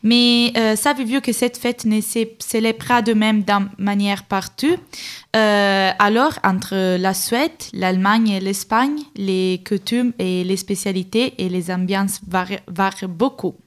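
A young woman from Turin with an Italian accent hosts a radio show as a volunteer, speaking French as a second language in a slightly halting way. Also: mid-range voice, steady tone. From Turin, Italy. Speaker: radio host, European volunteer.

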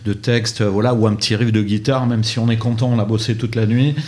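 Man, radio host, rambling, jokey, hesitant restarts, low voice. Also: little pitch movement, fast, a little mellow. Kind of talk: radio interview.

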